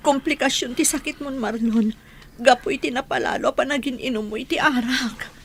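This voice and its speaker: Devoted wife, female actor, very high and fretful, pleading tone, Ilocano radio drama with slight background noise. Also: brisk, close to an everyday voice. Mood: grieving, pleading. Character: worried, devoted wife pleading with her sick husband.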